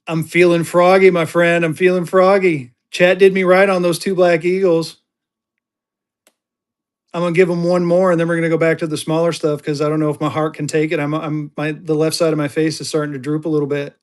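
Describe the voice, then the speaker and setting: A man hosting a live-stream auction of paper money, chatty, jokey and excitable. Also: on the high side, a little fast, clear, resonant tone. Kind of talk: casual live-stream selling chat.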